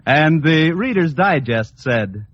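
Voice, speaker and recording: Animated high-voiced male vintage radio announcer. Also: slow pace, noisy background. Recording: dull old radio recording, music behind.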